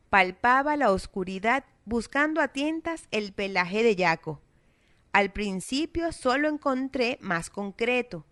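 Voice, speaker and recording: A woman with a South American Spanish accent, reading a children's story aloud in a steady, expressive way. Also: mid-range voice, no echo. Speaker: narrator. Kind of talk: scripted storybook narration.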